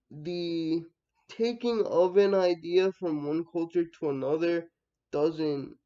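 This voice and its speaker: A young man from the United States giving a reflective personal monologue, halting and thinking aloud, often trailing off. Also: fairly high pitch, warm tone, smooth, muffled recording. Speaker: vlogger.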